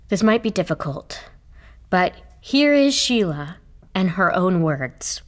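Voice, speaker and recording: An actress playing an exasperated officiant trying to keep order at a memorial service. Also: female voice, lowish voice. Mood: somber. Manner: exasperated, stern, lively. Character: frazzled woman officiant losing control. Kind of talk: radio play acting.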